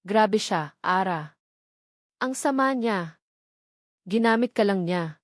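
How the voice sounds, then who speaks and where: A woman's voice: medium pitch, animated, takes it slowly, dry recording, clean studio sound. A woman voicing a scheming, falsely caring best friend in a romance audio drama, urging and persuasive.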